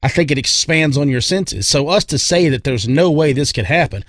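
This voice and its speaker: A man's voice: a little high, lively intonation, normal speed, no room sound. A man co-hosting a podcast, giving his opinions in casual, rambling talk with emphatic repetition. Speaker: podcast co-host.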